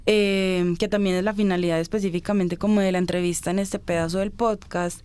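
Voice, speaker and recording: A woman from Colombia hosting a podcast interview, asking measured questions and affirming her guest. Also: on the low side, steady tone, darkish tone, clear, resonant tone. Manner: attentive, affirming interjections, formal phrasing.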